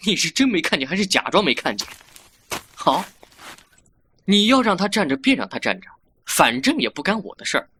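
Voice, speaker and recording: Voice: mid-range voice, very animated, brisk, pauses often, sharp tone, a little hiss or hum. A performer playing a mouthy, petulant young fox-spirit boy in a Chinese audio drama, scolding and cocky. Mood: indignant.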